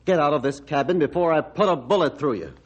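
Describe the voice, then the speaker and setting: A man acting a bitter, gruff old hermit doctor in an old-time radio drama, with halting rustic speech and a defensive, threatening manner. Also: a little high, animated. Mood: angry. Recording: muffled, band-limited vintage radio recording.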